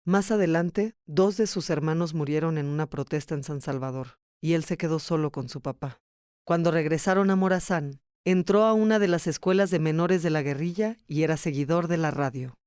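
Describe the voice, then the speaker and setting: A man's voice: a little high, normal speed, a little mellow, clear, resonant tone, no echo, clean studio sound. A man reading scripted documentary narration as the narrator of a podcast.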